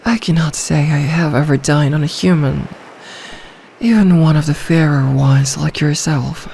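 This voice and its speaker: Female performer as ancient sea dragon in ASMR roleplay: possessive, regal, seductive, very unhurried delivery, faint ambience behind. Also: deep voice, vocal fry, warm tone, slight background noise. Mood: tender, possessive. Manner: regal, seductive, slow and deliberate.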